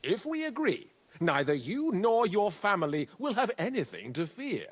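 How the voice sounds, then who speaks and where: An actor playing a pompous, mock-polite and menacing royal official, a villain in a medieval video game. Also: male voice, high voice, huge pitch swings, moderate pace, exaggerated voice. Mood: threatening. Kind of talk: dramatic video game acting.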